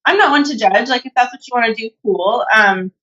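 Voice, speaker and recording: A woman's voice: fairly high pitch, brisk, pushed and bright. A young woman from California, chatting casually as a podcast guest, with lots of fillers and restarts. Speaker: podcast guest, natural bodybuilder. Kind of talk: casual interview chat. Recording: dull lo-fi podcast audio.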